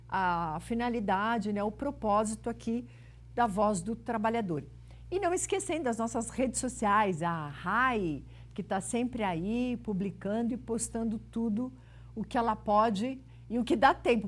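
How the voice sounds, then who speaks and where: A woman's voice: fairly high pitch, a little mellow. A woman hosts a talk show, chatting and interviewing in an informal, rambling way with familiar forms of address.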